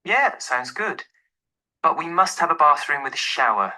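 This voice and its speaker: A man's voice: on the high side, big pitch swings, moderate pace, pushed and bright, full character voice. A man playing an easygoing young professional in an acted phone dialogue, speaking casually and informally. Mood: casual, friendly. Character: easygoing young male professional phoning to rent a flat.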